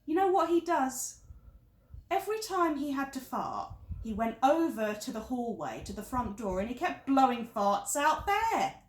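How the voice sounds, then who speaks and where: A woman's voice: high-pitched, sing-song, a little slow, echoey room. A woman performing a stage monologue as an exasperated wife in her thirties, confiding in a friend with dramatic, emphatic repetition. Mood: exasperated, indignant. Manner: dramatic, emphatic, repeats phrases for effect.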